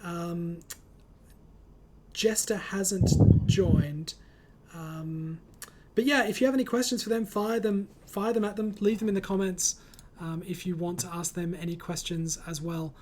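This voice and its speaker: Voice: medium pitch, fairly even intonation, a little slow, darkish tone, slight background noise. A host of a casual online group chat, reading out comments and speaking in an encouraging, reassuring way over a voice call.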